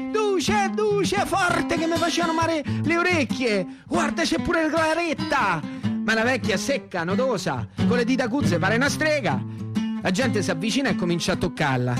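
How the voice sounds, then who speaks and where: An actor with a central Italian, Roman accent playing a haunted, fervent ex-partisan in a radio theatre monologue, telling it colloquially and with insistent repetition. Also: male voice, very high-pitched. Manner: dramatic storytelling with colloquial dialect and insistent repetition. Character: Italian ex-partisan man recounting war memories and dreams, haunted, fervent. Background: plucked guitar music.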